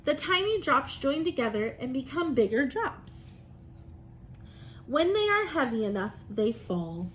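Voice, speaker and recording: Higher-pitched woman teaching young children. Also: big pitch swings, unhurried, a bit bright. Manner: encouraging, simple phrasing addressed to children. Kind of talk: read-aloud and science lesson for young children. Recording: noticeable background noise.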